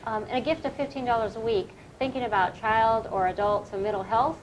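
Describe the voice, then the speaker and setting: A woman's voice: fairly high pitch, fairly even intonation, a little fast, slight background noise, rough recording. A woman hosting a community fundraiser segment, chatting in a friendly way and making an appeal for donations. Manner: friendly, chatty, often laughs. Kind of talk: casual interview and appeal for donations.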